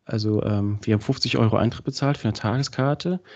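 Husky man hosting, with a cold. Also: on the low side, fast, a little mellow. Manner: chatty, many fillers. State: has a cold. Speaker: podcast host. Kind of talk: casual podcast chat. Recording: dull lo-fi sound.

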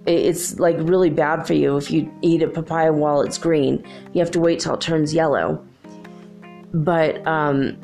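A woman's voice: on the low side, darkish tone. A middle-aged woman hosting a podcast, rambling casually with many ums and asides, a little congested from feeling under the weather. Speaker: podcast host. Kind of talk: casual solo podcast chat.

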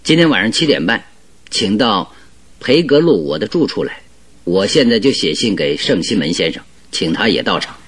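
An actor playing a firm, authoritative gentleman detective giving advice in a Chinese radio drama. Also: on the low side, sing-song, husky, exaggerated voice. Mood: reassuring. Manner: firm, advising, measured.

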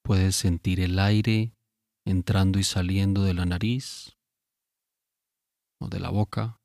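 A man narrating a guided sleep meditation in a calm, soothing manner, giving unhurried instructions with long pauses. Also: low-pitched, vocal fry, no echo, studio quality.

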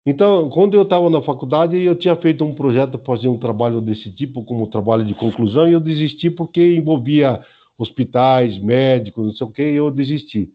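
A man's voice: mid-range voice, brisk, lo-fi sound. A Brazilian man in his early seventies explaining things informally in an interview, in a didactic way with frequent checking tags. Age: early 70s. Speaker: senior Aikido instructor, interview guest.

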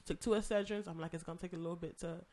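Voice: mid-range voice, fairly even intonation, rapid-fire, a little mellow. A podcast co-host who is losing their voice to a cough, telling a long, profane story in casual chat.

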